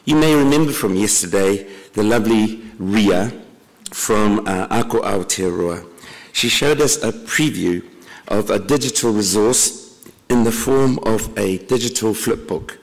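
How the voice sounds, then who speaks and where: A man introducing a conference plenary session, with dry deadpan jokes and frequent throat clearing. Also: lowish voice, measured pace. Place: conference hall. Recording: long hall reverb.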